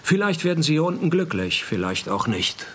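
A man's voice: on the high side, moderate pace, slight background noise. An actor playing a dry, sardonic private detective, narrating his case in a radio play. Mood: matter-of-fact. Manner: deadpan, laconic, sardonic.

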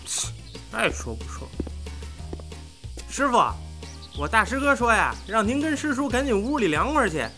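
Bright, nosy young lad, acted. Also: male voice, very high voice, very animated, moderate pace, long pauses, heavily acted. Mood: cheeky. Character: nosy, cheeky junior male apprentice. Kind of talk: audio drama acting. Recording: background music under the voice.

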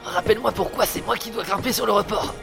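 A man's voice: high-pitched, fast talker, gravelly. A man acting an anxious but brave, wry inventor brother in an audio drama, given to grumbling. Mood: nervous. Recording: loud music behind.

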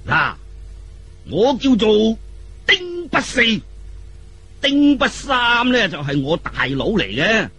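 A man's voice: high-pitched, sing-song, pushed and bright, husky, voice pushed up, exaggerated voice. A man voicing a boastful, contrary old martial artist with a heavy stutter, blustering and drawing out his words in a storybook telling. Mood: boastful surprise. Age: older. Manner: blustering, drawn-out delivery.

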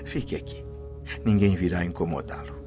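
A man's voice: low-pitched, moderate pace, dark tone, lightly acted. An actor playing a devout, tender and anxious father, a Roman freedman, in an earnest radio drama style. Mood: tender, solemn. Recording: muffled lo-fi audio with music behind.

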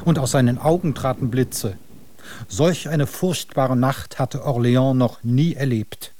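An actor playing the dramatic, declamatory narrator of a grotesque, darkly comic radio tale. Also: male voice, medium pitch, measured pace, dark tone, lightly acted. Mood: dramatic storytelling. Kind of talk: theatrical radio drama storytelling.

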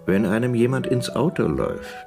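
A man's voice: medium pitch, huge pitch swings, normal speed, creaky, music in the background. An actor playing a self-assured, unsentimental male company director with a brusque, worldly manner, in a radio drama. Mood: breezy, self-satisfied. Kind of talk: 1980s German radio drama acting.

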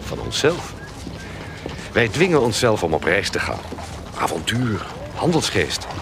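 An actor playing a gruff, devoted, roguish trader in a Dutch radio drama, terse and plain-spoken. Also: male voice, fairly low pitch, wildly swooping pitch, a little slow, heavily acted. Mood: candid. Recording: clear background ambience noise.

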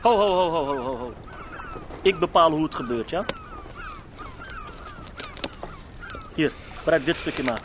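An actor playing a bossy, impatient hashish dealer in a radio drama, with pushy, mocking street slang. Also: male voice, a little high, lively intonation, normal speed, noisy background, natural voice. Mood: cocky. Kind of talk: naturalistic Dutch radio drama acting.

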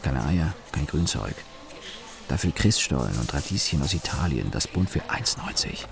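An actor playing a disaffected, sardonic young man who narrates his own radio drama in a deadpan, grumbling way. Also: extremely low pitch, normal speed, vocal fry, full character voice. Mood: deadpan, disgruntled. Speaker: narrator and main character. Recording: noisy background ambience.

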